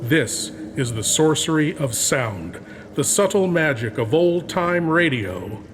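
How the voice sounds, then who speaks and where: A man speaking as a horror show host, delivering a theatrical, drawn-out and ominous scripted introduction. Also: on the high side, sing-song, noisy background. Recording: music playing behind. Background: music.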